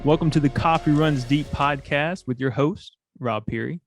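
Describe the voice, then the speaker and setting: A man's voice: a little high, normal speed, music in the background. A man hosting a coffee podcast, asking curious questions in a laid-back, slangy way. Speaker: podcast host. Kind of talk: casual interview.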